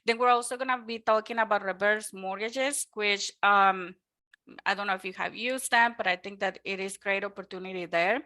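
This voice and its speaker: A woman's voice: medium pitch, steady tone, normal speed, pushed and bright. A woman with a Mexican Spanish accent, a native Spanish speaker from Mexico, giving an earnest, upbeat webinar presentation on home loans with frequent ums. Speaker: mortgage lender presenting a webinar.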